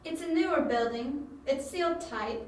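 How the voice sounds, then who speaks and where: High, echoey young captive played by a woman. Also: moderate pace, darkish tone, natural voice. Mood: resigned. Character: captive young female damsel, apologetic, matter-of-fact. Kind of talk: amateur audio drama acting. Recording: very echoey amateur recording.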